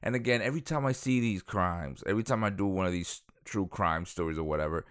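A man with a lowish, smooth voice recounts a true crime case in a loose, chatty way. He wanders into asides and restarts his sentences often. The recording is close and dry. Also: fast talker, no echo. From Dominican Republic, raised in Queens. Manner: rambling, full of fillers and self-corrections, chuckles aside. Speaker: true crime podcast host. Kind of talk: casual storytelling.

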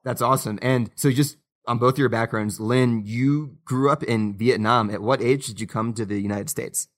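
A man's voice: medium pitch, fairly even intonation, moderate pace. A man hosting an interview podcast, asking friendly, enthusiastic questions with plenty of fillers. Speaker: podcast host.

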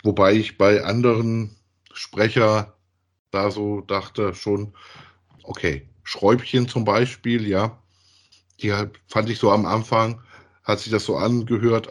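A man, chatting casually as a podcast co-host, thinking aloud haltingly and repeating himself. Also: fairly low pitch, slightly rough. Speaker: podcast co-host reviewing audio dramas. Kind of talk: casual chat, reviewing audio plays.

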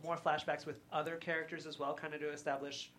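A woman's voice: deep voice, level tone, quick pace, fairly bright tone, a little hiss or hum. A woman speaking as a panelist in a casual chat.

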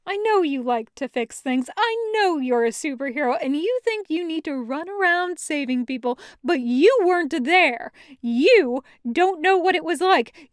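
A woman's voice: sits high, no echo, studio quality. A woman voicing a brash, hurt, sarcastic man in an audio story, with emphatic slangy delivery.

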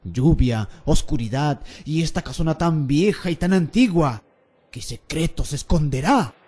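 A man playing an excitable, theatrical young student in a radio drama. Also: a little high, unhurried, husky. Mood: eager, plotting. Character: excitable, scheming young male student, easily spooked.

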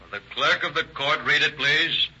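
An actor playing a stern, formal judge with measured authority in a 1930s radio drama. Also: male voice, a little high, steady tone, sharp tone. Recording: muffled, hissy old radio recording.

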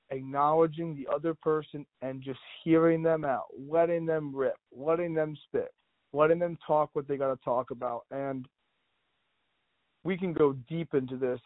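A man's voice: fairly high pitch, dry recording. A man co-hosting a podcast, easygoing and slangy as he hands over to his co-host. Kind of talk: casual podcast talk. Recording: dry, tinny phone-like sound.

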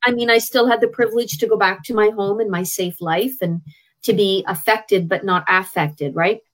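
A woman's voice: mid-range voice, normal speed, rough recording. Frank middle-aged Canadian woman. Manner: frank, conversational, with hesitant restarts. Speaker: interview guest, traditional healer. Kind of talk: candid personal storytelling.

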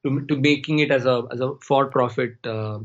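A man from India, a Tamil speaker, talking slowly and thoughtfully as a podcast guest over a remote call. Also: mid-range voice, fairly even intonation, fairly bright tone, highs cut off. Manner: slow, searching, thinks aloud. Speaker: guest storyteller. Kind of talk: reflective interview talk.

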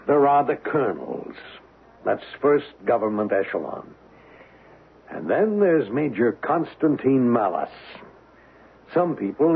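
An actor playing a cynical American embassy official in an old-time radio drama, gravelly and husky, with a wry, clipped, commanding manner. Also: male voice, medium pitch, exaggerated voice. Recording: tinny, band-limited old radio broadcast.

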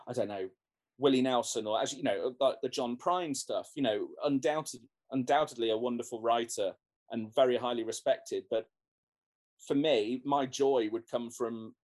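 A man's voice: mid-range voice, moderate pace, a little mellow. A man, a musician, talking casually in an interview, rambling with many restarts and fillers. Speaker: guest musician.

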